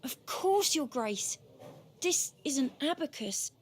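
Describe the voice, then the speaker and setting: A woman's voice: high-pitched, animated, slow, a little hiss or hum. A woman voicing a timid, humble young servant boy in an audio drama, speaking politely and deferentially. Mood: polite, shy. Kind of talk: video game audio drama acting.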